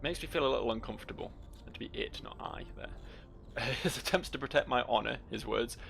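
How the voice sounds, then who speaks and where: Wry, creaky-voiced male gamer commentating. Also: mid-range voice, brisk, a bit bright. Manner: wry, sweary, reads game text aloud. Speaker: gaming video host. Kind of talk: casual game playthrough commentary. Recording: faint noise, game ambience.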